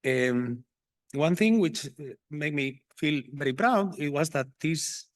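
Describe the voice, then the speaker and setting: A man's voice: a little high, expressive, slow, no room sound, clean studio sound. A middle-aged man from Spain with a Spanish accent, giving an enthusiastic, rambling conference talk as a botanic garden horticulturist. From Spain, Asturias. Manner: enthusiastic, rambling, self-correcting.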